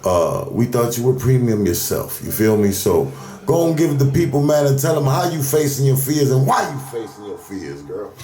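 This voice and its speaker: A man's voice: mid-range voice, big pitch swings, normal speed, dark tone, soft background music. Slangy American man hyping in roomy studio. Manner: slangy, emphatic promoter. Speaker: radio station host and co-host. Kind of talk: casual hype radio talk. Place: indoor radio studio.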